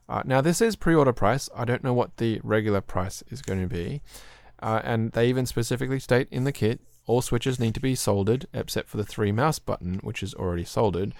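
Man, podcast host, throaty, clears throat a lot, laid-back rambling, dry close sound. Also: fairly low pitch, no echo. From Australia. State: slightly throaty. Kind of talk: casual chat about keyboards.

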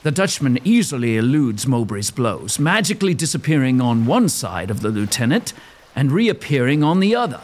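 A man's voice: medium pitch, very animated, moderate pace. A man narrating a gothic audio drama as a scripted story, in a theatrical, suspenseful manner.

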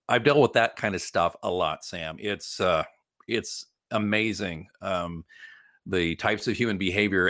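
Low-voiced man, emphatic and rambling. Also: fairly bright tone, dry recording, studio quality. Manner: emphatic, rambling, self-correcting. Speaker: guest PR crisis consultant. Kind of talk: informal interview explanation with anecdotes.

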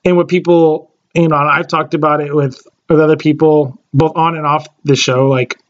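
A man's voice: fairly high pitch, flat delivery, normal speed, highs cut off. A man in his late twenties, a podcast co-host chatting casually and rambling with many fillers and restarts. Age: late 20s. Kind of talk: casual chat.

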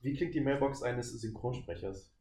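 A man's voice: mid-range voice, moderate pace, warm tone. A man hosts a podcast in an ordinary mid-range voice. He puts questions to his guest in loose, chatty speech. The sound is echoey, with a faint layer of noise. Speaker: podcast host. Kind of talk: casual chat, quick-fire questions. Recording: roomy echo, faint background noise.

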